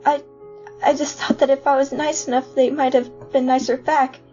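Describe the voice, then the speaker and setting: A high, youthful little skeleton brother, played by a woman, gentle and earnest, speaking hesitantly. The fan-dub audio is muffled, with music playing behind the voice. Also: level tone, moderate pace, natural voice. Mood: sad, tearful. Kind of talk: fan comic dub voice acting.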